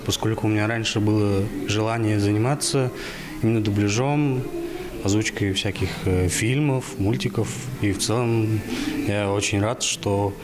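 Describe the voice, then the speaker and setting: A young man, a sound engineer, speaking off the cuff in an interview. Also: on the low side. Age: young adult. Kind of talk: unscripted interview answer. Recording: noisy location interview.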